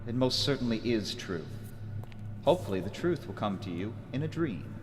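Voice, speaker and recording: A grandiose, domineering villain lord, gloating and commanding. His delivery swings widely in pitch, inside a long cavernous reverb with music under it. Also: sing-song, normal speed. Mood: hypnotic, gloating. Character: gloating, domineering dark lord who feeds on dreams. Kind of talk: audio adventure villain acting.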